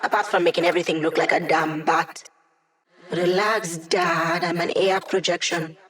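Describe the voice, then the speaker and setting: A woman's voice: on the low side, fairly even intonation, moderate pace, fairly bright tone, recorded from a distance, lightly acted. Game role, woman actor: young female hacker as a digital projection, teasing, sassy, sure of herself, dry sarcasm. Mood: wry. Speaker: video game voice actress. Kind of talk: video game character voice lines.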